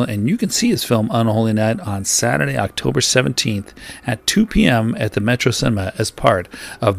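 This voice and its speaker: A man's voice: mid-range voice, creaky. A man hosting a radio interview, asking friendly, talkative questions. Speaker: radio host.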